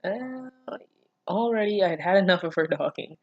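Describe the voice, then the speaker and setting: A casual online reader with a mid-range voice, recorded close and dry. The reading slips often into expressive, incredulous commentary, with plenty of swearing. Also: mid-range voice, normal speed, dry recording, dull audio. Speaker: online reader reacting to fan fiction. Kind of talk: casual read-aloud with running commentary.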